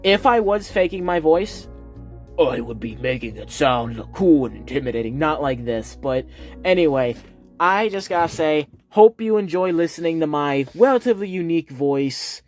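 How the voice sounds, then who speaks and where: A man's voice: fairly high pitch, expressive, normal speed, a little mellow. Southern guy, heavy lisp and speech impediment, rambling casual vlog talk, phone-recorded over faint music. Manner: rambling, earnest, with fillers. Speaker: YouTuber. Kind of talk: casual chat to viewers.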